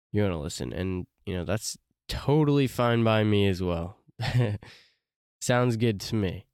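A man with a low, smooth voice hosts a solo podcast, recorded close and dry. He talks casually, circling back with fillers and restarts. His voice is a little congested from allergies. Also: a little mellow, no echo. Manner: loose, rambling, many fillers and restarts. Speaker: podcast host.

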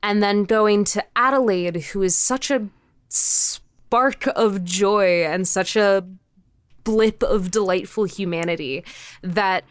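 A woman giving casual, thoughtful interview answers as a guest, haltingly and often rephrasing mid-sentence. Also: mid-range voice, smooth, dry recording. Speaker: interview guest, indie audio drama creator.

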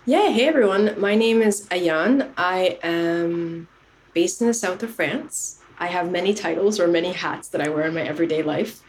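Low-voiced woman, reflective storyteller. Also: normal speed, darkish tone, a little hiss or hum. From Canada. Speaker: podcast guest. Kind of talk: casual interview chat.

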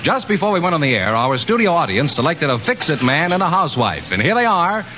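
Bright, animated male radio announcer. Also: fairly high pitch, fast talker. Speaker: show announcer. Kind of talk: 1940s-50s radio announcing. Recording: dull old-time radio recording.

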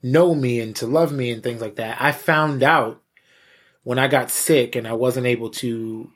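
A man with a husky voice, a pastor and interview guest, giving candid personal testimony in a halting, conversational way with repeated words. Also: medium pitch. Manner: halting, repeats words, frequent "you know".

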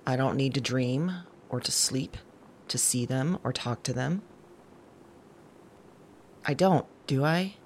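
A woman playing a wry, unsettled woman who records her night thoughts in an intimate audio drama monologue, slow and deliberate. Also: very deep voice, a little hiss or hum, exaggerated voice. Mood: musing. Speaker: audio drama writer and performer. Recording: music bed behind voice.

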